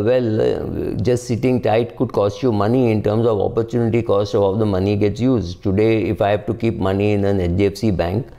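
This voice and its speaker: An older man with an Indian English accent, explaining investing to camera at home in a measured, unscripted way with many fillers. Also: on the low side, gravelly, mellow. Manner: measured, explanatory, frequent "uh" fillers and "right?" tags. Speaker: personal finance video host. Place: home study with bookshelves, indoors.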